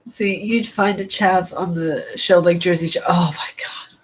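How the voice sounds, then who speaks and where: A woman's voice: on the low side, steady tone, unhurried, slight background noise. A young woman hosting her own channel, chatting casually, laughing often and exclaiming in amazement, repeating herself for emphasis. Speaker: channel host. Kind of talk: casual chat.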